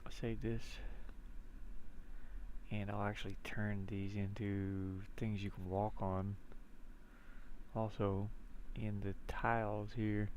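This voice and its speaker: A man with a creaky, gravelly voice giving casual, rambling commentary as a game developer showing his work, often muttering to himself and repeating words. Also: fairly low pitch, level tone, slow, pauses often, darkish tone, highs cut off, a little hiss or hum.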